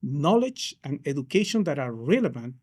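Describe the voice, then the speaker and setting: A man's voice: on the high side, big pitch swings, moderate pace, darkish tone, husky. A man from Peru, a counselor answering interview questions with many hesitations and restarts. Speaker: case manager counselor.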